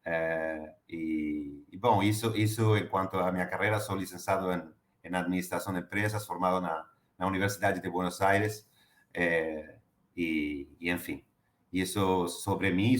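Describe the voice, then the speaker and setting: A man's voice: low-pitched, echoey room. A middle-aged man from Argentina with an Argentine Spanish accent, answering interview questions with many fillers and restarts. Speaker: guest, HR director.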